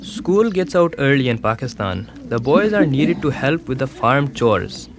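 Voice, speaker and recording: A calm young schoolboy narrator. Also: male voice, mid-range voice. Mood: earnest. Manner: calm, measured first-person storytelling. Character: young village schoolboy telling his story. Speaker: documentary narrator. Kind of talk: scripted documentary voice-over. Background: music.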